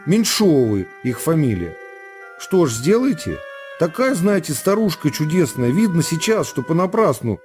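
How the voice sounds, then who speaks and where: A tipsy woman prisoner from a radio drama , acted out by a male reader. The voice is lifted high and soft-edged, lilting along briskly. It coaxes and pleads with flirtatious, ingratiating familiarity. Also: very animated, soft background music. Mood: pleading, coaxing. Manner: sing-song, brisk, ingratiating. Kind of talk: radio literary reading, acted dialogue.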